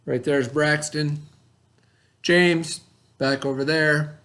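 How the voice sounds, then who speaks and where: A man's voice: on the high side, fairly even intonation, slow, fairly bright tone. A male elementary school teacher talking informally to young pupils in a simple, rambling way and leading a read-aloud lesson.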